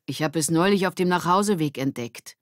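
A woman's voice: on the low side, a little fast, no echo, clean studio sound, lightly acted. A woman voicing an enthusiastic, friendly schoolboy with an upbeat, persuasive manner in a children's audiobook. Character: enthusiastic, friendly schoolboy showing his discovery.

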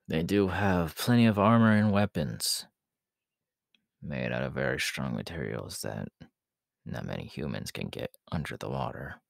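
A man playing a proud, menacing, world-weary demon king in an ASMR roleplay, talking in a deliberate, haughty way that often sinks into breathy whispers. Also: very deep voice, lively intonation, no room sound, clean studio sound, exaggerated voice. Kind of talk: ASMR audio roleplay acting.

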